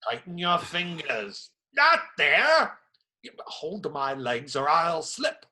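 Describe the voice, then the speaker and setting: A man's voice: fairly high pitch, big pitch swings, slow, bright, forceful tone, heavily acted. A man acting in a radio play as a stout, strong friar who is indignant, pious and sarcastically preachy. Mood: mocking, preachy. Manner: theatrical, sermonizing sarcasm.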